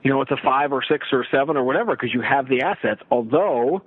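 A man talking sports casually as a broadcaster guest, telling stories and throwing out rhetorical questions in an animated way. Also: medium pitch, quick pace, slightly rough. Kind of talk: casual sports radio discussion. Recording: roomy, thin phone-like radio line.

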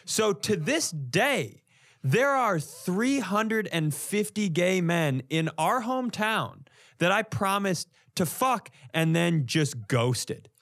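A man's voice: high voice, sing-song, takes it slowly, a bit bright. A man telling comic stories in casual podcast chat, with an exasperated, profane delivery. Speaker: comedian, podcast co-host.

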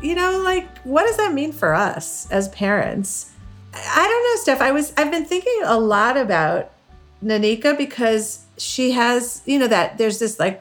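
Middle aged american lady cohosting a podcast, chatty and casual, pokes fun at herself, average pitch. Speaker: podcast co-host. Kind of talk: casual conversational chat.

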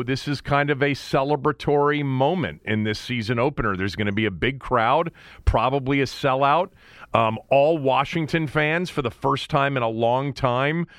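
A man's voice: mid-range voice, a bit bright, no echo, clean studio sound. A man hosting a sports talk show in a conversational style. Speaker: sports talk radio host.

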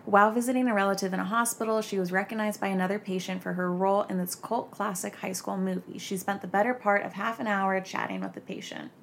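A young woman hosts a chatty gossip podcast with a gravelly, creaky voice. She sprinkles her talk with "like" and keeps an easy, casual tone. A faint hiss runs under the recording. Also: mid-range voice, fast talker, hardly any pauses, a bit bright. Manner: chatty, many "like" fillers. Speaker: podcast host.

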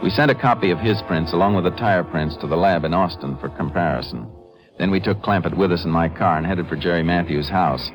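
An American man playing a calm, methodical Texas Ranger in a 1950s radio western, laconic, firm and matter-of-fact. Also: sits low, a little fast, over music, close to an everyday voice, low-quality recording. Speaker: lead actor in a radio drama. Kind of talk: 1950s radio western crime acting with first-person narration. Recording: vintage 1950s radio recording.

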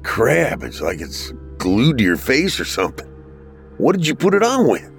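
Audiobook big brother: man as a skeptical, exasperated teen, slangy delivery, lively pitch, faint music underneath. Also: moderate pace, creaky. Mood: alarmed. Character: casual, skeptical older teenage brother.

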